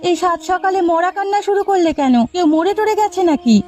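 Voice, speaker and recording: Woman acting a high-voiced, nosy village housewife. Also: brisk, a little mellow. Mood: curious. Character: nosy village housewife, a sharp-tongued mother. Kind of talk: animated folk tale cartoon acting.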